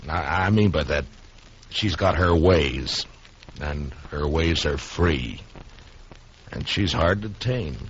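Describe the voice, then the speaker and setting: An actor playing a calm, wry frontier marshal who talks in an unhurried, hesitant way, in a 1950s radio drama. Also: male voice, a bass voice, a little hiss or hum, full character voice. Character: calm, easygoing frontier town marshal, grown man. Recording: dull old radio recording.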